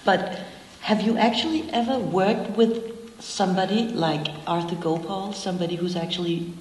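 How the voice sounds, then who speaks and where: Woman interviewer, lowish voice, live literary event, reverberant hall, faint noise. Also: steady tone, moderate pace, darkish tone. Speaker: interviewer and moderator.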